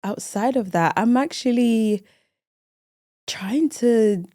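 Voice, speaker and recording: A woman's voice: on the high side, takes it slowly, warm tone, no echo, clean studio sound. A woman in her early thirties chatting casually as a guest on a podcast. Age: early 30s. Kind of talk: casual chat.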